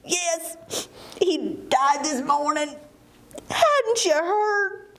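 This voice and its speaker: A grumpy puppet animal, played by a woman with a high voice and an unhurried drawn-out delivery. The folksy schemer is theatrical and exaggerated, made for a children's puppet show. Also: expressive, a bit bright, exaggerated voice. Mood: mock sorrow. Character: slow-witted, grumpy, conspiring puppet animal, friend of a fox.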